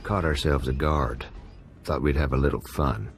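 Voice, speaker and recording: A man playing a tough, laconic, suspicious prison gang convict in a game, gruff, clipped and wry. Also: a bass voice, steady tone, normal speed, faint music behind. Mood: wry, casual. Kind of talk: game cutscene voice acting.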